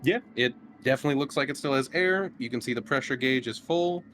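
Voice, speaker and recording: A man narrating a tabletop horror game as game master in a halting, conversational way. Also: mid-range voice, fairly bright tone, slight background noise.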